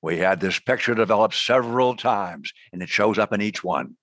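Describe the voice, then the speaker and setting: Man acting a low-voiced American agency director. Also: a bit bright, no echo. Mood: measured, intent. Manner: authoritative, deliberate. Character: brisk male intelligence agency director, commanding boss. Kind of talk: radio drama acting.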